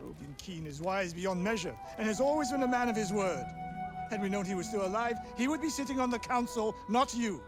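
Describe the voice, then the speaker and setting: High-voiced, dignified male councillor. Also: animated, a little fast. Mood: firm, then welcoming. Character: dignified, defiant councillor loyal to an old friend. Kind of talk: dramatic video game acting. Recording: music behind.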